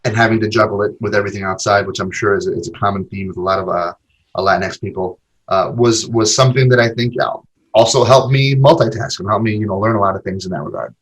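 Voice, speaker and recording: A man's voice: lowish voice, steady tone, fast, husky, rough recording. A man in his forties, a podcast guest, talking casually about his past with lots of fillers and repeated words. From Argentina. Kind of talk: casual interview conversation.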